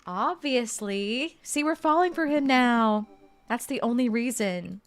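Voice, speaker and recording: A woman's voice: fairly high pitch, animated, unhurried. A young woman streaming a game, chatting casually and reading game text aloud in a bubbly way. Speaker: gaming streamer. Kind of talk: casual let's-play commentary.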